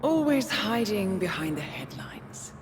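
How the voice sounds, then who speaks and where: Poised, calculating spy handler, sweeping intonation. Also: female voice, medium pitch, big pitch swings, unhurried, noisy background. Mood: cold, taunting. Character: poised, calculating woman intelligence handler turned betrayer. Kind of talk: cinematic video game acting.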